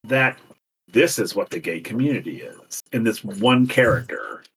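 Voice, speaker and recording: A man in his forties co-hosting a podcast, chatting casually in an animated, theatrical way and laughing often. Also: on the low side, normal speed, breathy edge, far from the mic, poor audio. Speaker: podcast co-host. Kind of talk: casual chat.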